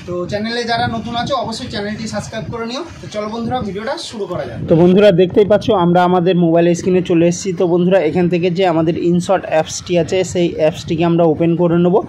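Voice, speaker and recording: A man's voice: high voice, flat delivery, rapid-fire, hardly any pauses, dark tone, a little hiss or hum. A man hosting an app tutorial, repeatedly addressing his viewers directly as he explains each step. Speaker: tutorial channel host. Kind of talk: scripted-sounding app tutorial narration.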